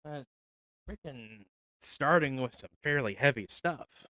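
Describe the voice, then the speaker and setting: A man cold reading folk tales aloud on a stream, unhurried and measured, his voice feeling a little rough after an hour. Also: medium pitch, sharp tone, no room sound. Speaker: reader.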